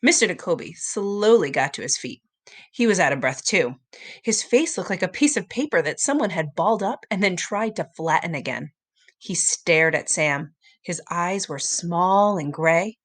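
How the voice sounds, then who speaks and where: A woman narrating a children's story aloud in an expressive, dramatic storytelling manner. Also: lowish voice, normal speed. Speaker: storybook reader.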